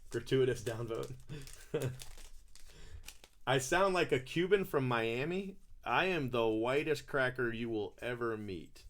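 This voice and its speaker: A man's voice: medium pitch, expressive, slow pace, fairly bright tone, slight background noise. A man hosting a live trading-card break, chatting casually and calling out each card as he opens packs. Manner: chatty, calls out card names while opening packs.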